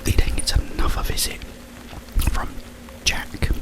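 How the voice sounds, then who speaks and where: A man speaking in a breathy, unvoiced whisper, rambling informally and soothingly in an ASMR style. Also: a little slow. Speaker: ASMR video creator and host. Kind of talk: whispered ASMR ramble retelling a film plot.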